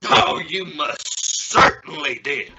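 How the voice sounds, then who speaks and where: A woman's voice: low-pitched, expressive, slow pace. A young woman playing an exasperated, stammering father trying to sound reasonable in an old-time radio family comedy. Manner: stammering, sputtering. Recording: noisy recording with clicks.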